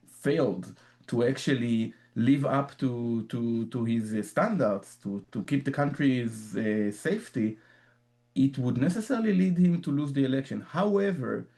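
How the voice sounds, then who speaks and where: A man with an Israeli accent speaks in a warm, dark register. He answers at length in a radio interview, restarting phrases and repeating small words as he thinks. His delivery is earnest and explanatory. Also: medium pitch. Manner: halting, repeats words while searching for phrasing. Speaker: author guest. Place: in Jerusalem, remote line.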